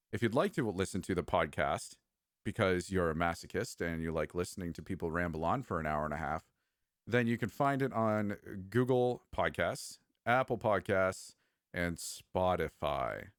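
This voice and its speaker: A man with a deep, low-pitched voice hosts a casual podcast. He talks in a loose, joking way. The sound is dry and close, with no room echo. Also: expressive, normal speed. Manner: laid-back, jokey. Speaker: podcast host.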